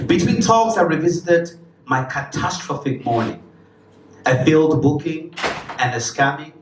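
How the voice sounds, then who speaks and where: A man's voice: on the high side, unhurried, background noise. A man from Cameroon performing spoken word poetry on a live stage, with rhythmic, emphatic phrasing and casual asides. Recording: roomy, distant live recording.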